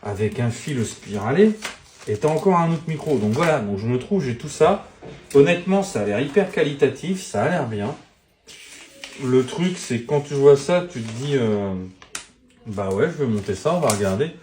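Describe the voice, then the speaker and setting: A man's voice: medium pitch, expressive, moderate pace, a little mellow, a little hiss or hum. A middle-aged man chatting casually as he unboxes gear, thinking aloud and swearing when annoyed. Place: room at home. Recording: roomy echo, faint music behind.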